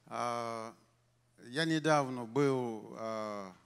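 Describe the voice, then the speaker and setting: A middle-aged man with a Ukrainian accent preaching on a church stage in short phrases, pausing for his interpreter and chuckling often. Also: medium pitch, gravelly, smooth. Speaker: pastor. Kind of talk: sermon through an interpreter. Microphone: handheld mic. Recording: long reverb of a large hall.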